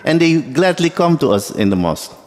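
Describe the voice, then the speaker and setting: A man from Suriname whose first language is Dutch, giving a halting ceremonial speech in English with many fillers. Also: a little high, sing-song. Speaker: guest speaker. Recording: long hall reverb.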